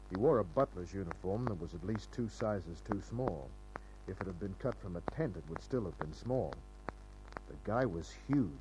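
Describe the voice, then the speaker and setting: A tough private eye in a vintage radio serial. His low voice is wry and hard-boiled, clipped and unflappable, sometimes with faint music. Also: low-quality recording. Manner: dry, hard-boiled, laconic. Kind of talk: old-time radio detective drama acting and narration.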